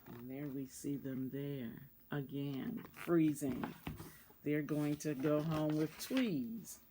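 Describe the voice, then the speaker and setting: A woman reading a children's storybook aloud, deliberately and in short phrases, as a volunteer reader. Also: sits low, noisy background. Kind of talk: read-aloud children's storybook narration with asides about the pictures.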